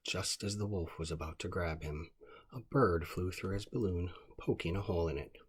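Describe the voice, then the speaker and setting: A man with a deep, strongly husky voice reads a children's story aloud. His delivery is calm scripted narration, made for bedtime listening. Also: normal speed.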